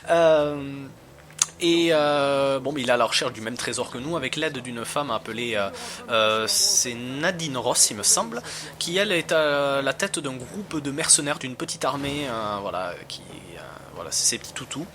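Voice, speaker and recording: A man's voice: medium pitch, moderate pace, noisy background. A man giving casual gameplay commentary as a reviewer, talking in a slangy, hesitant, rambling way. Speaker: video game reviewer.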